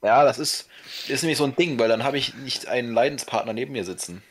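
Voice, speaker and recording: A man's voice: medium pitch, fast, background noise. A teenage boy co-hosting a casual podcast, joking around and tripping over his restarts. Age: teens. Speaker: podcast co-host. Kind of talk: casual chat.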